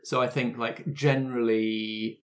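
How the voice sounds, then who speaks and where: A man's voice: medium pitch, little pitch movement, a little slow. Man guiding a tabletop roleplaying game. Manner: conversational, prompting players with questions. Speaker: game master and host. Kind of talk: narrating a tabletop roleplay podcast.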